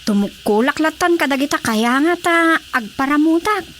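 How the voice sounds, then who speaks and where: A woman's voice: high-pitched, lively intonation, moderate pace, slight background noise. An actress playing a brash, scheming, sassy village woman in a comedy radio drama, with an Ilocano Filipino accent. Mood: scheming, chatty.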